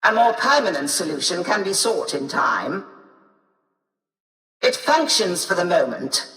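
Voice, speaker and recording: Haughty, sharp-voiced tech-priest. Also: female voice, lowish voice, sing-song, unhurried. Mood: coolly disdainful. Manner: imperious, clipped, disdainful. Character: haughty, curt female tech-priest in sci-fi game. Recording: reverberant echoing hall.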